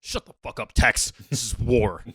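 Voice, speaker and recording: A man's voice: medium pitch, normal speed, fairly bright tone, slightly rough, dry recording, studio quality. A man playing a zealous, pompous gung-ho Marine with a grave, bombastic manner in a comedic radio play.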